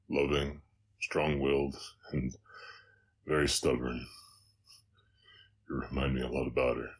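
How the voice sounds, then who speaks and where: A man as a very deep, slow Southern orc cowboy. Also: heavily acted. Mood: wistful. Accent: slight Southern American. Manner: halting, hesitant, reflective. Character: gruff, gentle orc cowboy, haunted by his past. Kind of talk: slow intimate audio roleplay monologue.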